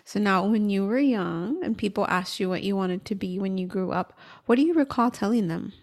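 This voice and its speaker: A woman's voice: medium pitch, a little fast. A woman hosting a podcast, introducing and questioning her guest in a conversational interview style.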